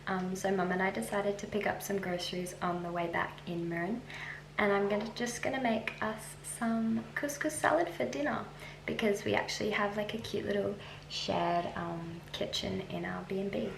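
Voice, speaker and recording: Female travel vlogger, twenties, mid-pitched with noticeable creak, informal and playfully gushing delivery. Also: steady tone, normal speed, a little hiss or hum. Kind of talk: casual vlog chat. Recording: music playing under the voice. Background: music.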